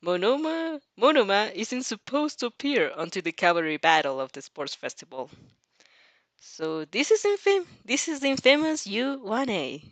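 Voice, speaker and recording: A woman narrates a fan fiction story with a very animated, swinging pitch and casual asides. The recording is dry and close. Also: normal speed, no room sound. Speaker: fan fiction reader and reactor.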